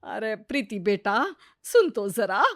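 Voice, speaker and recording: A woman playing a weary, patient older mother-in-law, gently plaintive, in a dramatised moral story. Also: medium pitch, big pitch swings, takes it slowly, dry recording, studio quality.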